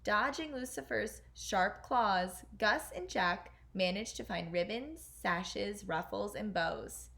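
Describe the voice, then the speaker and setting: A woman teacher reading a children's fairy tale aloud in an expressive storytelling style. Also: mid-range voice, slow pace, vocal fry, hardly any pauses.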